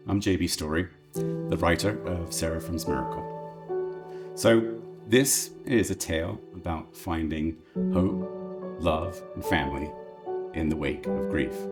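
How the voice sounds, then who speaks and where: A man giving a scripted introduction as a writer presenting his work. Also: deep voice. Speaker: screenwriter introducing his film. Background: prominent sad background music.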